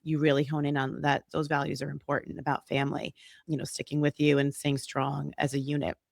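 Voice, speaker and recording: A woman from New York, a guest on a podcast, talking in a warm, chatty way and laughing easily. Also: sits low, monotone, fairly quick, gravelly, dry recording, clean studio sound. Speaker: guest, massage and reiki therapist. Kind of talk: casual interview.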